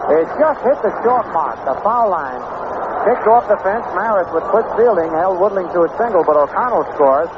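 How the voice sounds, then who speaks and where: An American man calling baseball play-by-play on 1960s radio, in measured, clipped phrases with pauses between plays. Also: sits high, expressive. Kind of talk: live 1960s radio sports commentary. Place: outdoor ballpark broadcast booth. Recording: noisy, tinny vintage AM radio broadcast.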